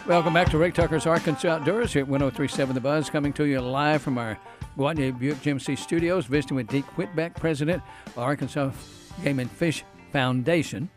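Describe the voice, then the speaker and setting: A man's voice: fairly high pitch, a little fast, over music. A man hosting a casual live radio show in a folksy, storytelling way. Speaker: outdoor radio show host.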